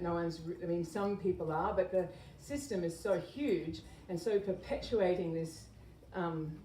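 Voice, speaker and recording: A woman gives an informal, explanatory health talk in an earnest, conversational manner. Her voice is soft-edged and mellow. It is recorded from a distance in a reverberant room, with faint noise behind her. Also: lowish voice. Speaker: naturopath and health educator giving a talk. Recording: echoey room, distant mic, background noise.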